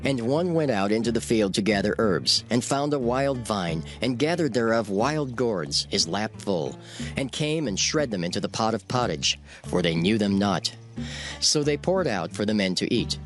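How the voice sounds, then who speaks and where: A man narrating dramatized scripture in a measured, solemn storytelling manner. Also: fairly low pitch, lively intonation. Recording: faint music behind the voice.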